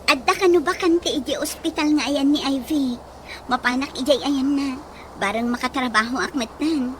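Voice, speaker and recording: An actress playing a friendly, eager young nurse looking for work in a radio drama. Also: female voice, sits high, moderate pace, fairly bright tone. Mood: apologetic. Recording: noisy, with ambient sound.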